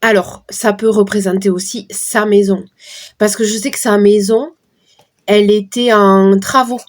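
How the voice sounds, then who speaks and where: A hoarse, middle-aged woman talking her viewers through a tarot spread in a loose, chatty way. Her voice sits at an ordinary pitch, and she often drifts into asides while she shuffles. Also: steady tone, a little slow. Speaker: card reader. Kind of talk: casual card-reading livestream talk.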